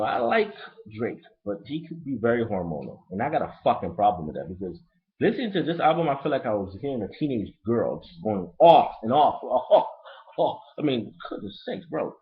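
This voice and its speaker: A man with a Haitian accent who grew up in Brooklyn, ranting bluntly and profanely in a casual, rambling album review. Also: normal speed. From Brooklyn, New York. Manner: profane, blunt and rambling, lively pitch swings. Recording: lo-fi dull recording.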